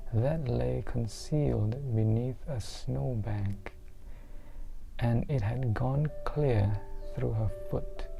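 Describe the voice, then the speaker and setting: A soft-spoken reader giving an ASMR storybook reading, hushed and deliberate, pausing often between phrases and slipping into near-whispers. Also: medium pitch, creaky, poor audio. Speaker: book reader.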